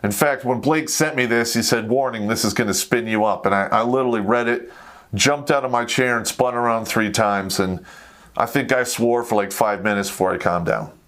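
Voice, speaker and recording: A man's voice: mid-range voice, fairly quick, a bit bright. An American man hosting a talk show, ranting in a blunt, profane, conversational way. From United States. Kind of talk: live opinionated rant to camera.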